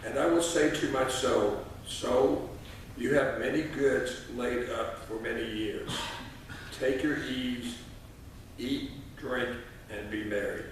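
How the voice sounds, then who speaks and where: Older guy in his 60s talking at church, folksy and halting, super echoey, mic far off with noise. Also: mid-range voice, fairly even intonation. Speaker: lay scripture reader at church. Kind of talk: informal church address and scripture reading. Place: church sanctuary at a lectern. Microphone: distant camera microphone.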